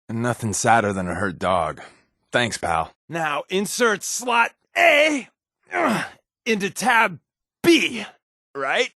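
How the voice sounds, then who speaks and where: An actor playing a wisecracking undercover agent and loyal sidekick in a video game, with an American accent and a breezy, sarcastic way of talking. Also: male voice, a little high, bright, forceful tone, no echo, clean studio sound, heavily acted. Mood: casual, concerned, then strained, joking. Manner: sarcastic, breezy, casual quips. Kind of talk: game companion voice lines.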